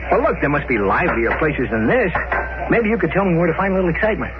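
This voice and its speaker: Lively, high-voiced young spaceship skipper. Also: male voice, fast talker. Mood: friendly, eager. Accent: American. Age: young adult. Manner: breezy, boyish, talkative. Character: cocky, trusting young male spaceship skipper. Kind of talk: 1950s radio drama acting. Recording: muffled old radio recording, music behind.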